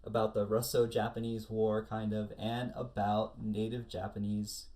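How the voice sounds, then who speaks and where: A man's voice: on the low side, little pitch movement, normal speed, warm tone. A man co-hosting a casual panel discussion, telling things in a chatty, informal way. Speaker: podcast co-host.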